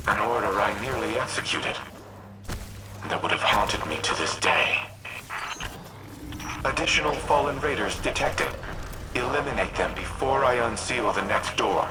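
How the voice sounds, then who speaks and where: An actor voicing a grave, remorseful artificial war mind from a game, speaking in a formal, measured, precise way. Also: male voice, fairly low pitch, heavy background noise. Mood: remorseful, confessional, then grave, instructive. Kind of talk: scripted game dialogue.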